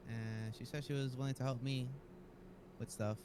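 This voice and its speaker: A man's voice: medium pitch, level tone, normal speed, a little mellow. Man chatting in noisy voice chat. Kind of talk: improvised in-character roleplay chat.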